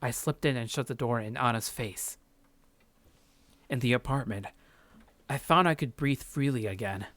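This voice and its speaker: A man's voice: medium pitch, moderate pace, pauses often, a bit bright, no echo, lightly acted. A man playing a paranoid, sardonic young man narrating his journal in a visual novel, curt and brooding. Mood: tense narration.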